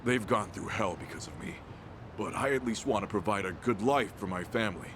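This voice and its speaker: Man acting low-voiced, desperate ex-gangster. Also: fairly quick, background noise, natural voice. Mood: humble pleading. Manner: pleading, emotional. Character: desperate down-and-out ex-yakuza family man. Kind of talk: dramatic video game cutscene acting.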